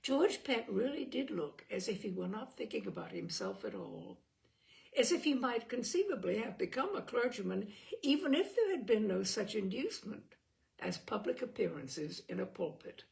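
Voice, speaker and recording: Expressive woman reading a novel, creaky voice. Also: mid-range voice, normal speed, rough recording. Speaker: audiobook reader. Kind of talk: scripted narration of a novel.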